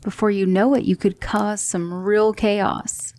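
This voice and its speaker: A woman playing a patient, composed demon magic teacher in a soft-spoken ASMR roleplay, measured and reassuring. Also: medium pitch, flat delivery, natural voice. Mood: calm, reassuring. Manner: measured, instructive, reassuring, with hushed breathy dips.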